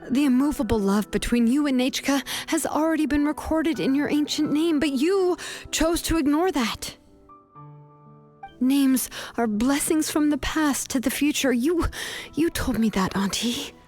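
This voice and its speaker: An actress playing a resolute, compassionate young craftswoman in a video game, with a measured, earnest delivery. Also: sits high, darkish tone, faint music behind. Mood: solemn reproach. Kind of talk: dramatic video game voice acting.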